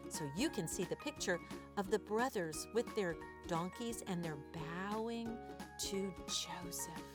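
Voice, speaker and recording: A woman's voice: mid-range voice, measured pace, over music. A woman hosting an interactive read-aloud for young children, exaggerated and questioning as she addresses listeners directly. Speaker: children's storytime host.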